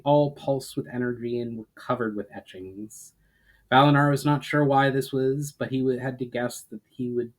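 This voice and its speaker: A man reading fantasy fiction aloud as a dramatic narrator, in a measured delivery with the odd stumble. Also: medium pitch, darkish tone, rough recording. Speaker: author reading own story.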